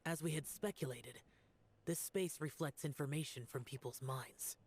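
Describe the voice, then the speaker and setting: An actor playing a stoic, ancient demon warrior guardian who speaks in a solemn, measured way. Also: male voice, a little high, slight background noise, close to an everyday voice. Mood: grim. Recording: game cutscene with soft music.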